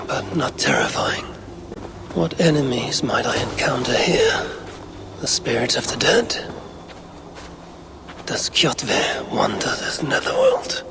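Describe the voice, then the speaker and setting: A man voicing a battle-hardened, stoic Viking warrior leader in a video game, measured and resolute. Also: medium pitch, gravelly, exaggerated voice. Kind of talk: video game cutscene acting. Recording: music behind the voice.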